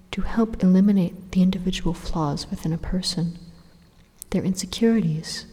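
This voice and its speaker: A woman acts out a rogue supercomputer for an ASMR roleplay, coolly menacing and persuasive. The voice is dark, soft and somewhat low, with a breathy whisper to it. It unfolds its monologue slowly and deliberately, pausing for drama. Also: a little hiss or hum, natural voice. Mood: measured, persuasive. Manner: slow, deliberate, hushed monologue with dramatic pauses. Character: evil supercomputer machine, calmly menacing, persuasive would-be savior. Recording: long hollow reverb on the voice.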